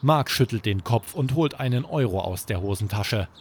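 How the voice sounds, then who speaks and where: A man narrating a scripted children's bedtime story as podcast host, with expressive, dramatic storytelling. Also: fairly low pitch, normal speed, a little hiss or hum.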